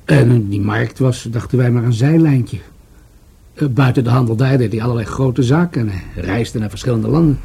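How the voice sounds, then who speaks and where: An actor playing a probing, dryly skeptical police detective in a radio play, questioning in a measured way. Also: male voice, medium pitch, creaky, dark tone, slightly rough. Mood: skeptical, musing. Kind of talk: Dutch radio play acting.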